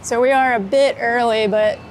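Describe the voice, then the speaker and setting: An American woman with a slightly high voice talks in a relaxed, conversational way. She is chatty and laughs easily, like someone being interviewed on the go. There is light city and vehicle noise behind her. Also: moderate pace. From United States, Florida. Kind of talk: casual chat while out and about. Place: on location in a city, rooftop, car and street.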